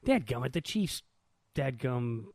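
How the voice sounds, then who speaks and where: A man's voice: mid-range voice, big pitch swings, a little slow, vocal fry, darkish tone, dry recording, clean studio sound. A man co-hosting a podcast, telling things informally and colloquially in casual sports banter. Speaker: podcast co-host.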